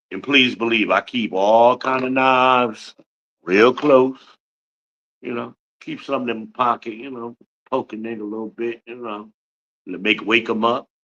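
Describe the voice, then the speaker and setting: Man, 50s, podcast host, blunt and boastful, cursing freely; bright, forceful voice, dull recording. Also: medium pitch, slow, pauses often, a little hiss or hum. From Newark, New Jersey. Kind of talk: profane livestream rant.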